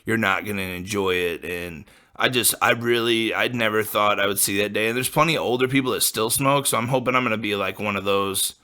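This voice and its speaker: A man's voice: on the low side, brisk, hardly any pauses, pushed and bright. A man in his late twenties from the American Midwest, rambling casually and profanely as a podcast host, full of fillers. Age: late 20s. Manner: laid-back, profane, full of likes and you-knows.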